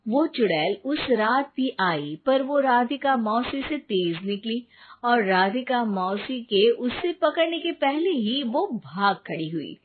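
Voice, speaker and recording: Slow-paced woman storyteller, tinny sound. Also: fairly high pitch, animated. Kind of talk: scripted story narration. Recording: tinny phone-like sound with faint noise.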